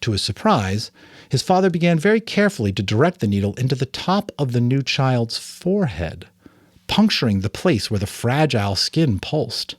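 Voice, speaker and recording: A man narrating a novel aloud as a dramatic, expressive reading. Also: mid-range voice, moderate pace, no room sound, studio quality. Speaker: audiobook narrator and voice actor. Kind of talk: dramatic story reading with asides.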